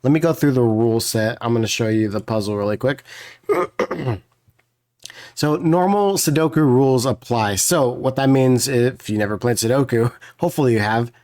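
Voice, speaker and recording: Hoarse man hosting a puzzle video. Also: medium pitch, normal speed, darkish tone. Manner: rambling, many fillers and restarts, apologetic. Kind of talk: casual explanation of puzzle rules.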